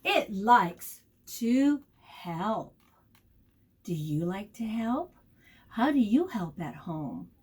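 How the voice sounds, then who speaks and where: A woman reads to young children as their teacher, in a highish, lively voice that swings up and down. She goes extremely slowly, repeating key words deliberately. She often stops to ask the children questions and encourage them. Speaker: preschool teacher. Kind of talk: storytime read-aloud lesson for young children.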